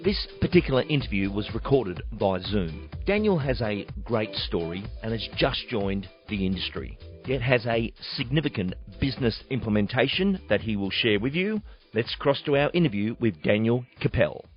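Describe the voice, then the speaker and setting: A man's voice: lowish voice, very animated, moderate pace. A man hosting a sales podcast and interviewing a guest, with an enthusiastic, polished presenter delivery. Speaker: podcast host and sales trainer. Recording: dull lo-fi audio with faint music.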